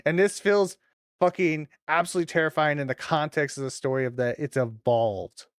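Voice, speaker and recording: Higher-pitched man chatting on a livestream. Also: brisk, clear, resonant tone, dry recording, studio quality. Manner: informal, sweary, teasing banter with chat. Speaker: livestreamer hosting a watch-along. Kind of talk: casual livestream chat with viewers.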